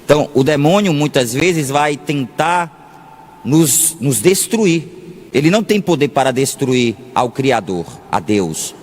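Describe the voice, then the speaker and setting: Slow-paced Brazilian priest in an echoing hall. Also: male voice, mid-range voice, very animated. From Salvador, Bahia, Brazil. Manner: deliberate, pausing often, repeats phrases for emphasis. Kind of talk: sermon. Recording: long echoing reverb of a large hall.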